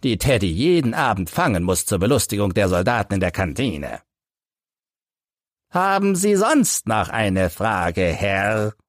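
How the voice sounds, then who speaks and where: A man voicing an embittered old crippled soldier who tells his tale in a halting, dramatic way for an audiobook. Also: fairly low pitch, sing-song, no echo, studio quality. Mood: proud, plain. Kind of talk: audiobook character reading.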